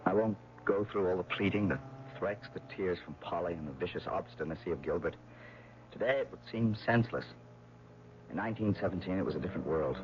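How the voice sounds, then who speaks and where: An actor playing an earnest, brooding young American man who looks back bitterly, in halting 1970s radio drama style. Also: low-pitched, lightly acted. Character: earnest young lover turned embittered veteran, recounting his past. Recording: muffled, noisy old radio broadcast.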